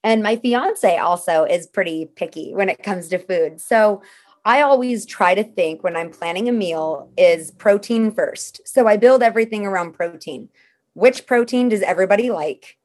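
Woman, podcast guest, chatty, practical, step-by-step, mid pitch. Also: moderate pace. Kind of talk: casual interview conversation.

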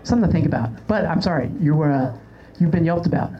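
A man's voice: on the high side, expressive, quick pace. A man hosting a podcast with casual comedic chat and anecdotes in a joking, self-mocking manner. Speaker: podcast host. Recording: slightly dull, lo-fi sound.

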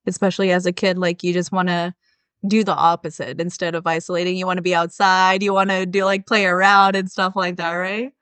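A man's voice: high-pitched, flat delivery, brisk, bright, forceful tone, clean tone, no echo. A man hosting a podcast, asking loose, encouraging questions in casual chat. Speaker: podcast host. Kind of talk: casual interview.

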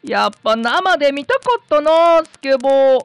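A woman's voice: high voice, normal speed. A woman voicing a cheeky, whiny young boy, a little brother, in a family radio drama with childish colloquial speech. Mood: whiny, sulky. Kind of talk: Japanese radio drama acting.

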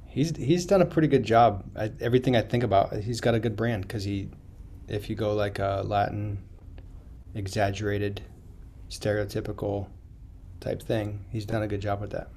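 A man's voice: on the low side, steady tone, moderate pace, darkish tone, a little hiss or hum. A man co-hosting a casual sports podcast, laid-back and hesitant with fillers. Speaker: podcast co-host.

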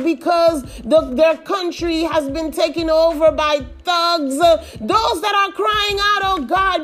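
Woman leading intercessory prayer, slow, high, pleading, soft music underneath. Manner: pleading, repetitive invocations, rising to shouts. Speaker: prayer leader.

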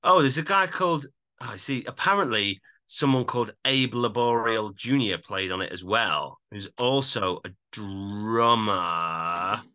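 A man's voice: on the low side, measured pace, pushed and bright, clean tone. A man co-hosting a podcast, chatting casually and agreeably as he reviews an album.

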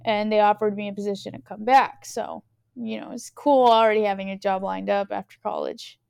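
A woman's voice: mid-range voice, steady tone, brisk, gravelly, darkish tone, smooth. A woman in her twenties co-hosting a casual podcast, chatty with frequent laughs and fillers. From California. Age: 20s. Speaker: podcast co-host and interviewee. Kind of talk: casual conversational interview.

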